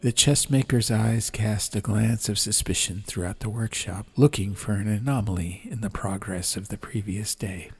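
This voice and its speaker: A man reading a story as an atmospheric audiobook narrator, in measured short phrases with pauses. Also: lowish voice, darkish tone, faint music behind. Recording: clear background ambient noise. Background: ambience.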